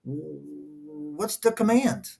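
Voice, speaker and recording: A man's voice: fairly high pitch, lively intonation, very slow, vocal fry, warm tone, slightly rough, faint music behind. A man whose first language is Portuguese, reading verses aloud and stopping often to question each phrase in a casual discussion. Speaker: co-host reading scripture.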